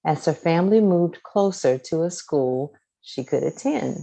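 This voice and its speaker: A woman reads a children's storybook in a low, mellow voice. She takes her time, phrasing each line deliberately and expressively for young listeners, with plenty of rise and fall in her pitch. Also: vocal fry, low-quality recording. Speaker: storybook reader. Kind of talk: scripted children's story read-aloud.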